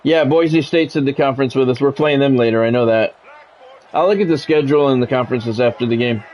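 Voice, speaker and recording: A man's voice: medium pitch, fairly quick, a little mellow, slight background noise. A man livestreaming a video game, giving casual commentary and chatting with viewers in a repetitive, explanatory way.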